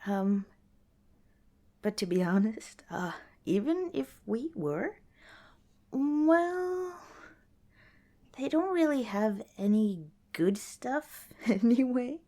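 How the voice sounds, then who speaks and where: A woman playing a kind, cautious young scavenger in an ASMR roleplay, speaking softly and hesitantly, as if chatting to the listener. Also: a little high, very animated, slow pace, long pauses, exaggerated voice. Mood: wry, musing. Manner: soft, hesitant, conversational, with sighs and small laughs.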